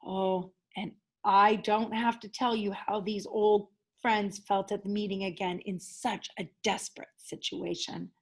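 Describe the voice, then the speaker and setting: A woman's voice: mid-range voice, flat delivery, unhurried, few pauses, husky. A woman teacher reading a children's story aloud expressively, leaning dramatically on key words.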